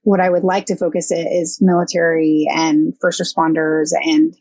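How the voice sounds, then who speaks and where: A young woman, a counseling intern and guest, talking thoughtfully with many pauses and fillers. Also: deep voice, flat delivery, darkish tone, dry recording. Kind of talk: casual podcast interview.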